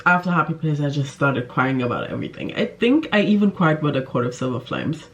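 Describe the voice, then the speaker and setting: A woman's voice: sits low, animated, a little fast. Gushing, giggly woman chatting about favorites. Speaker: book vlogger. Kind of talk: casual chat to camera.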